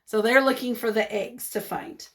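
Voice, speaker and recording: A woman reading a picture book aloud like a teacher, expressive and encouraging, pausing to put questions to young listeners. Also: on the high side, vocal fry.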